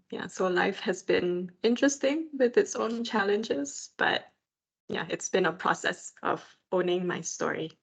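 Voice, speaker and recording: A woman's voice: medium pitch, vocal fry. A young adult woman from Malaysia co-hosting a podcast in a casual, conversational style. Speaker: podcast co-host. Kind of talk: casual chat.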